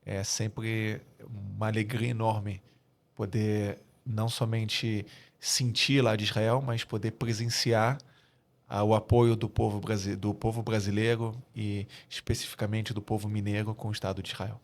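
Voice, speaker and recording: A man in his forties from Rio de Janeiro with a Carioca accent, answering as a guest in a podcast interview, earnest and measured with frequent hesitations. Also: on the low side, flat delivery. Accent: Rio de Janeiro Brazilian Portuguese. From Brazil (Rio de Janeiro). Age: 40s. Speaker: guest, military spokesperson.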